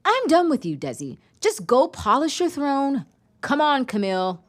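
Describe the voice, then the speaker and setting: Casting: bossy teenage girl, high voice with big swings, insistent, for scripted audio drama. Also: very animated, normal speed, no echo, clean studio sound. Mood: annoyed. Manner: driven, insistent. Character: fiercely competitive, bossy high-school girl team captain.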